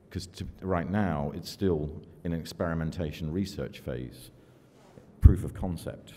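An older man from the United Kingdom giving a conference keynote at a podium in a large hall, measured and self-deprecating, with fillers between thoughts. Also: deep voice, dark tone. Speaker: keynote speaker. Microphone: podium microphone. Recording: long hall reverb, faint room noise.

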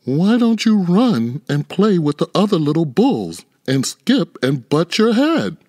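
Storybook mother cow, acted by a man: gentle, anxious, coaxing, pitched well up. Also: sing-song, unhurried, no echo, studio quality. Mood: coaxing concern.

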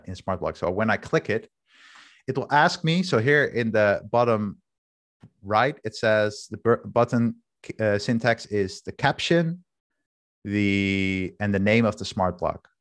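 Dutch-native man, 30s, somewhat low voice, candid video-call demo host. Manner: candid, thinking aloud with restarts. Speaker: workshop host. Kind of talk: casual screen-share demo. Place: home room, indoors. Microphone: headset with video call camera.

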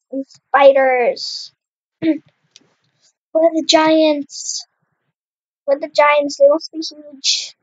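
A woman's voice: high voice, muffled recording. A young woman commentating her own Minecraft game with a slight cough, chatty and exclaiming at what happens on screen. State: slight cough, clears throat. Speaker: gaming channel host. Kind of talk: casual Minecraft let's play commentary.

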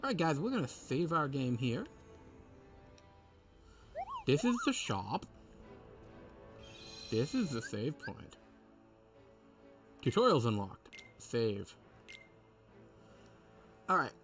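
Animated, joking male let's player. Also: medium pitch, slow, pauses often. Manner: joking, wry asides. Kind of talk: casual playthrough commentary. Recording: game music behind the voice.

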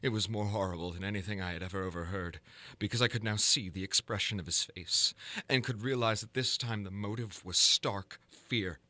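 A man giving a dramatic reading of a gothic horror story in a theatrical, deliberate manner. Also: lowish voice, sharp tone, no room sound. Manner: theatrical, deliberate, ornate literary delivery. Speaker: narrator, audiobook reader.

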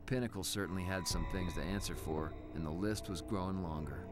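An actor playing a vengeful, sardonic American fugitive cop in a noir video game, narrating and confronting enemies. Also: male voice, deep voice, brisk, loud music behind the voice, natural voice. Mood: grim, brooding. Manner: hard-boiled, sardonic. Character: vengeful hard-boiled fugitive cop hero, tough and sardonic. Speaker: protagonist and narrator.

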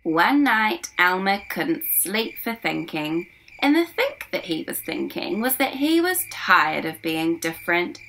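A woman's voice: fairly low pitch, big pitch swings, a little slow, a bit bright, slight background noise, low-quality recording. A woman in her twenties reads a children's picture book aloud expressively, enunciating carefully for young listeners. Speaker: children's story reader. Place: small furnished room indoors.

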